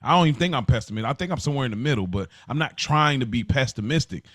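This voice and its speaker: Male podcast co-host, mid-pitched, colloquial and emphatic, reflective casual talk, close dry recording. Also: animated, racing, fairly bright tone, no echo. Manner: earnest, emphatic, colloquial, often says "bro".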